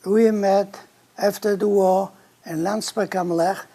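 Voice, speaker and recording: A man's voice: high-pitched, steady tone, takes it slowly, dark tone. An elderly man from Poland, recalling his life in short, blunt sentences as the grandfather in a family interview. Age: older. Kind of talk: plain spoken recollection.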